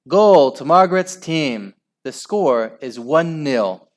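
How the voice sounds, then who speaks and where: A stern old schoolmistress from a children's story, performed by a man, refereeing with curt authority. The voice is raised in pitch and loud, snappish and commanding. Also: expressive, slow.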